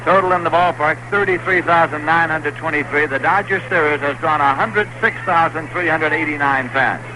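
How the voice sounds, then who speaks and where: Male play-by-play announcer, vintage radio, slightly high voice, hissy old broadcast. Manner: brisk, rising excitement on big plays. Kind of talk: baseball radio commentary.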